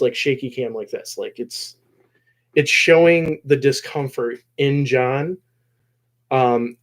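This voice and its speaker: A man hosting a casual recap discussion of a show episode, rambling along and often pausing mid-phrase. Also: medium pitch. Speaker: host of a show discussion video.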